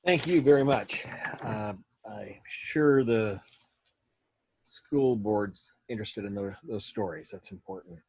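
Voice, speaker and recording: A man chairs a public meeting by video call, keeping his remarks measured and brief before handing the floor on. He speaks slowly in a middle voice, with faint noise and clicks on the line. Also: lowish voice.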